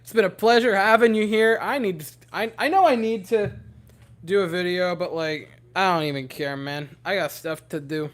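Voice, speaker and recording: A young man with a hoarse, worn-out voice, rambling giddily to his livestream chat from home. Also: high voice, moderate pace, fairly bright tone. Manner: giddy, rambling, talking to chat. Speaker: streamer.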